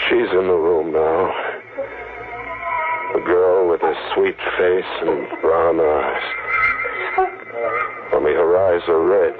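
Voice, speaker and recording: An actor playing a paranoid fugitive cracking up, intense and haunted, in 1940s radio thriller style. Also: male voice, sits low. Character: paranoid fugitive man, cracking up, convinced he is being tricked. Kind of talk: 1940s radio thriller acting with first-person narration. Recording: muffled vintage radio recording, loud music behind.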